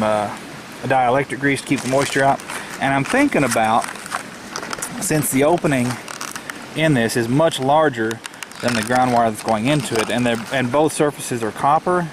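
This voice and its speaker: Man narrating outdoors over clear background noise. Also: medium pitch. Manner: unscripted, thinking aloud. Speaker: DIY vlogger. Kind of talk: casual hands-on tutorial narration. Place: outdoors in a rural yard. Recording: noisy outdoor camcorder audio. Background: clear outdoor ambient noise.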